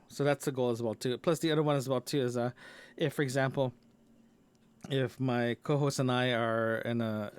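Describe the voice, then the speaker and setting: A man hosting his own solo audio log podcast, talking casually with frequent restarts and filler words as he thinks out loud. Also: mid-range voice. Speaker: podcast host. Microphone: dynamic microphone into portable recorder.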